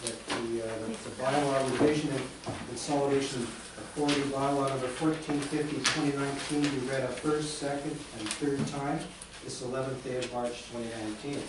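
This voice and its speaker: Man chairing a meeting in an echoey chamber. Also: mid-range voice. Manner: brisk procedural, reads motions in a steady cadence. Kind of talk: formal council procedure. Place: council chambers. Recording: echoey chamber, distant mic, background noise.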